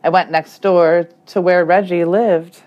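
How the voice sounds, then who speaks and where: A woman teacher reading a children's story aloud expressively, with dramatic emphasis and deliberate phrasing. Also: on the low side, steady tone, muffled recording. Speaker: third grade teacher.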